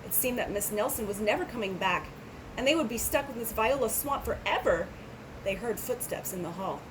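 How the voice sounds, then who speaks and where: A woman's voice: a little high, expressive, fairly quick, noisy background. A woman reading a children's storybook aloud, adding casual asides. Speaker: storybook reader.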